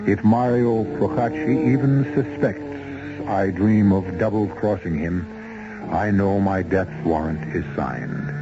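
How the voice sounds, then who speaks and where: An actor playing a bitter, doomed man in a 1970s radio drama, measured and dramatic. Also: on the low side, natural voice. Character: doomed, bitter man reading out his last letter. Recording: dull, hissy old radio recording.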